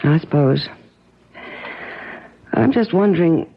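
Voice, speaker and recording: Husky, animated concerned woman on old radio. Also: deep voice, slow pace, full character voice. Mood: shaken, probing. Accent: American. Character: troubled married woman, concerned, insistent rescuer turned suspicious. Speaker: radio drama actress. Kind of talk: 1970s American radio drama acting. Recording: muffled, lo-fi old radio broadcast.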